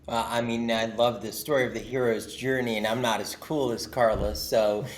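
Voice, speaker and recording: A man from Jordan, a podcast guest, telling an earnest, expressive story in casual conversation. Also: medium pitch, normal speed.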